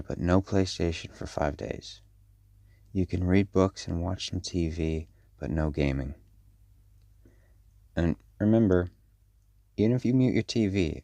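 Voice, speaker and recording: Man playing a deep, gravelly, protective werewolf dad. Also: dry recording. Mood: firm, parental. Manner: measured, parental, gently firm. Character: firm, protective werewolf father, caring but stern. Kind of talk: audio roleplay acting addressed to a child.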